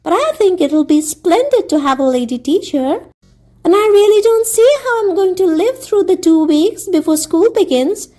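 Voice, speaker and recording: A woman's voice: very high-pitched, animated, normal speed, dark tone, voice pushed up. A woman voicing an eager, dreamy, talkative young girl, gushing earnestly as a storybook character. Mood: eager, chatty. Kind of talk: audiobook character reading.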